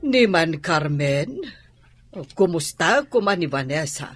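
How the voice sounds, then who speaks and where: A woman's voice: sits low, expressive, takes it slowly, slightly rough, faint music behind, exaggerated voice. A woman with an Ilocano accent playing a worried mother in a radio drama.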